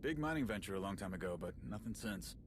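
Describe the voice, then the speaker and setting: A man's voice: on the low side, expressive, fast talker, background noise, natural voice. An actor playing a wisecracking, easygoing male pilot and fighter in a game cutscene, with a wry, casual way of talking. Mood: wry, alert. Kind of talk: scripted game cutscene acting.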